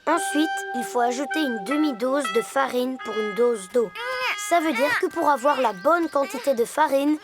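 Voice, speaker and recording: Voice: high voice, normal speed, close to an everyday voice. A performer voicing an earnest, methodical young hippo baker who is easily discouraged, in a French children's cartoon. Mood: explaining. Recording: loud children's music underneath.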